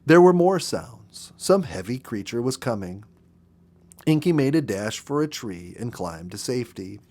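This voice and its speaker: Male storybook narrator, medium pitch, measured and dramatic, expressive read. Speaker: audiobook narrator.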